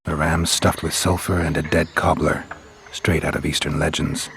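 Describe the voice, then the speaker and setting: A man playing a gruff, laconic mutant monster hunter in a fantasy video game, terse and dry. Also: flat delivery, normal speed, noisy background. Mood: dry, businesslike. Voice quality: low gravelly voice. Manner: terse, dry, matter-of-fact.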